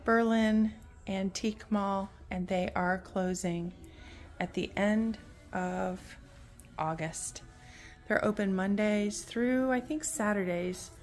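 A woman strolls through a big antique mall with her phone, speaking slowly and loosely with plenty of fillers. Her voice sits in an ordinary middle register. Store music plays softly behind her on the phone recording. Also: mid-range voice. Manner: slow, meandering, unhurried with frequent fillers. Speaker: antiques vlogger. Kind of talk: casual walk-through commentary. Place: large indoor antique mall. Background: store music playing faintly.

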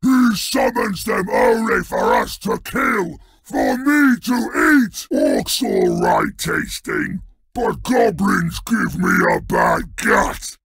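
A huge, brutish troll king from a fantasy game, roars his boasts and threats. The voice is thick with gravel and swings wildly in pitch. He drags out his blunt, broken sentences very slowly, always sounding hungry for a fight or a meal. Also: wildly swooping pitch, a bit bright, no echo, raised voice, heavily acted. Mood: boastful, menacing. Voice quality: gravelly, growling. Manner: bellowing in broken, blunt speech. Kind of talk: fantasy video game villain voice lines.

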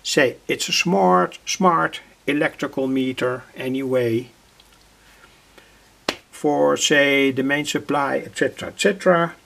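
An older man with a husky, gravelly voice, giving an unscripted workbench demonstration in halting, hesitant phrases. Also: medium pitch, fairly bright tone. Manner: halting, hesitant phrasing with repeated filler words. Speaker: radio hobbyist presenter. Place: indoor home electronics workbench.

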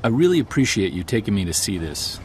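A man playing a middle-aged Bigfoot investigator in an audio drama, reflective and measured. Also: lowish voice, big pitch swings, darkish tone, a little hiss or hum. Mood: friendly, curious. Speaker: lead character, narrator. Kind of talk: audio drama acting, scripted first-person narration.

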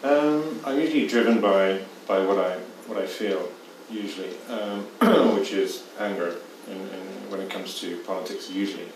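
A very low-voiced Irish man in his fifties answers a panel question haltingly, full of ums and repeated words. His voice booms around a large hall from a distant mic, with clear room noise underneath. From Ireland. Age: 50s. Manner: hesitant, many fillers and repeats. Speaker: poet. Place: stage in a dim theatre hall. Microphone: far camera. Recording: echoey hall from far off.

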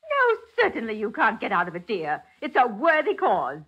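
Fluttery, husky society lady. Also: on the high side, wildly swooping pitch, normal speed, heavily acted. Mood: breezy, distracted. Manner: fluttery, chatty, rambling. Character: scatterbrained, sentimental society charity lady. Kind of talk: 1940s radio acting. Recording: muffled 1940s radio recording.

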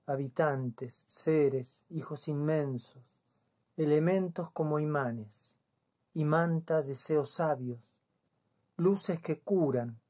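A man in his fifties with a Buenos Aires accent reads verse in a soft-edged, dark tone. His delivery is very slow and solemn, with long silences between phrases. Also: on the high side. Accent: Buenos Aires Spanish. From Buenos Aires province, Argentina. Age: 50s. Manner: deliberate, with long pauses. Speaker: poet. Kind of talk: solemn poetry reading.

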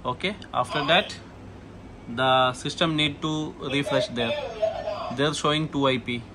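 A man who is not a native English speaker gives a hands-on setup walkthrough in short, halting phrases with frequent okays. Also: mid-range voice. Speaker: tutorial presenter, technician. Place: indoor shop or office. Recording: noisy room, clear background noise.

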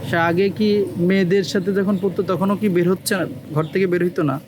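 High-pitched, quick Bangladeshi man interviewing. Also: fairly even intonation, background noise. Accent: Bangladeshi Bengali. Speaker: interviewer and channel host. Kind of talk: on-location human-interest interview. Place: on location in a village. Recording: crowd chatter behind the voice.

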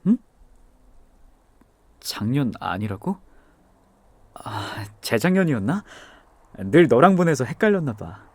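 A young man voicing a gentle, devoted boyfriend in an ASMR audio drama, speaking softly and tenderly. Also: mid-range voice, big pitch swings, slow pace, long pauses, breathy edge, dry recording, exaggerated voice. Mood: gentle, caring. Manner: soft-spoken, hushed and tender. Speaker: voice actor.

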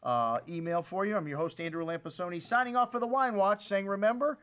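A man's voice: high-pitched, fairly quick, fairly bright tone, clean tone. A middle-aged man hosting a wine shop video, talking casually and enthusiastically to camera about new bottles. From USA. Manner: enthusiastic, chatty salesman with "hey" and "man". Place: wine shop set in front of wine crates, indoors.

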